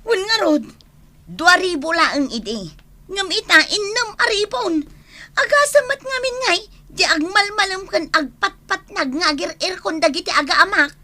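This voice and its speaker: A woman's voice: very high voice, expressive, moderate pace, heavily acted. A woman playing an exasperated, nagging mother and wife in an Ilocano radio comedy drama, scolding dramatically. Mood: worried, weary. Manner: scolding, dramatic, loudly calling out.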